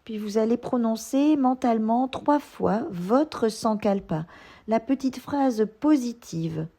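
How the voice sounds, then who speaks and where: A woman yoga instructor leading a guided relaxation meditation, giving gentle, soothing instructions. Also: mid-range voice.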